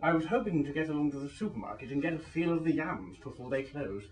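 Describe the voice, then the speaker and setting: A man's voice: a little high, expressive, quick pace, close to an everyday voice. Man acting odd fruit enthusiast, overeager, lingering delivery, comic radio play, echoey room, distant mic. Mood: eager, creepy. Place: small dressing room.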